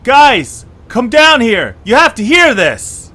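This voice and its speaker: A man's voice: extremely high pitch, huge pitch swings, slow pace, bright, forceful tone, slight background noise, voice pushed up, full character voice. A man voicing an eager young cartoon creature with an urgent, conspiratorial manner, in an audio story. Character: eager young male cartoon creature passing on secret plans.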